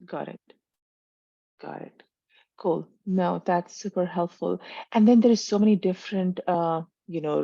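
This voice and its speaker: A woman's voice: low-pitched, vocal fry, dark tone, no echo, dull audio. A woman hosting a webinar interview over a video call, friendly and full of small acknowledgements and fillers. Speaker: webinar host. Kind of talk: interview host questions.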